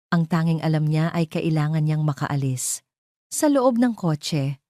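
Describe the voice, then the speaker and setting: A woman's voice: sits low, mellow, no echo, clean studio sound. A woman with a Filipino accent reading a scripted dramatic audio story as its narrator.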